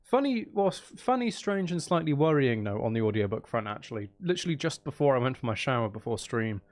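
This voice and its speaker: A man's voice: mid-range voice, very animated, fast. A man who streams games, chatting casually and rambling in a self-deprecating way. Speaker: game streamer and audiobook narrator. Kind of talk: casual livestream chat and game commentary.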